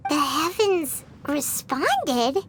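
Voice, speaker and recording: A woman voicing a tiny, excitable, bossy childlike fairy companion in a video game, squeaky and exclamatory. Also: extremely slow, slight background noise, heavily acted. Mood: puzzled. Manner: exclamatory, talks of herself in third person. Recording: prominent music behind the voice.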